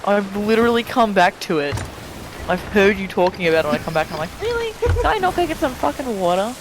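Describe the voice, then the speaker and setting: Higher-voiced man reading fiction over watery game noise. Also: big pitch swings, fast. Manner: stumbles over words and swears offhandedly. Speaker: streamer reading a story aloud. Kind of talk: dramatic reading of fan fiction mixed with casual chat.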